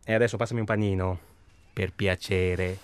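A man's voice: sits low, fairly even intonation, normal speed, slight background noise, close to an everyday voice. Hesitant, irritable man, acted for radio. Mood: irritated. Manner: hesitant, rambling, irritable, colloquial. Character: self-absorbed, stalling male tourist hunting human prey. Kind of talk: naturalistic radio drama acting.

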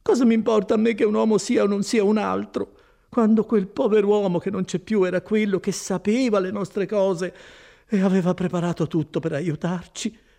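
A man's voice: high-pitched, fairly even intonation, normal speed, gravelly, mellow, pitched above the performer's own voice. A man voicing a distressed, middle-aged peasant woman in an audiobook, with wailing, pleading exclamations. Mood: impatient, grieving. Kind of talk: dramatised audiobook reading.

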